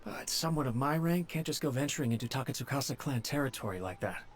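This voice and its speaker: A man's voice: medium pitch, lively intonation, fast, a little hiss or hum, natural voice. An actor playing a nervous young male servant who stammers and speaks humbly. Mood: worried.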